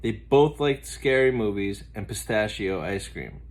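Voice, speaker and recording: Man reading a storybook with lively pitch. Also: unhurried. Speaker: teacher reading aloud. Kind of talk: expressive picture-book read-aloud.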